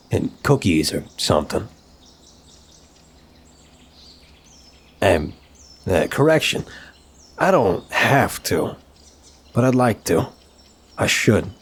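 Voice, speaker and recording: Man acting a low-voiced, smitten mafia boss. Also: husky, exaggerated voice. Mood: apologetic. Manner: soft-hearted, halting, teasing, courteous. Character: apologetic, smitten, flirtatious male mafia boss. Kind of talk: intimate ASMR roleplay audio drama.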